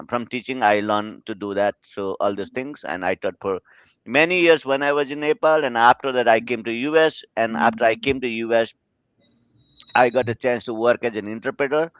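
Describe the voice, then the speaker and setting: Man, smooth and measured. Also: mid-range voice, fairly bright tone, no echo. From Bhutan. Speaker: interpreter. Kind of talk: answering interview questions. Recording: dull, lo-fi call audio.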